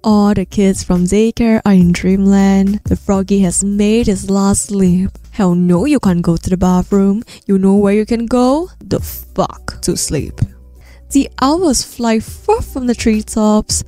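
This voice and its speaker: Mellow, slow-reading woman, bedtime-story style. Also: medium pitch, no room sound, soft background music. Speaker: VTuber streamer reading aloud.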